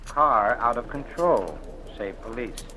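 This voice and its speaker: An actor playing a smooth, sleazy former insurance investigator who blackmails a woman, talking in an oily, taunting drawl, in 1940s radio drama style. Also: medium pitch, animated, takes it slowly, crowd noise.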